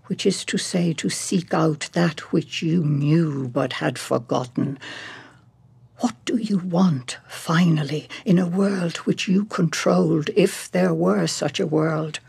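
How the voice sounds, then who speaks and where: A woman counselor in a radio drama, with a husky, creaky voice. She speaks deliberately and presses with searching, rhetorical questions. Her delivery is unhurried and insistent. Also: lowish voice, a little mellow, no room sound, exaggerated voice. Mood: grave, searching.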